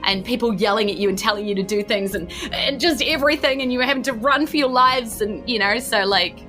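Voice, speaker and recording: Bright-voiced New woman game master. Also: a little high, a little fast, faint music behind. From New Zealand. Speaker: game master of a tabletop game podcast. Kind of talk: improvised game narration, casual and chatty.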